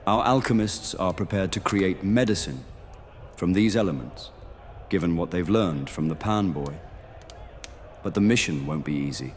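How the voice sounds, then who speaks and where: A man playing a stern, dutiful paladin in a fantasy audio roleplay, speaking in a measured, grave manner. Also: deep voice, lively intonation, a little hiss or hum. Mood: grave, briefing. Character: stern, dutiful male paladin of monster-hunting guild.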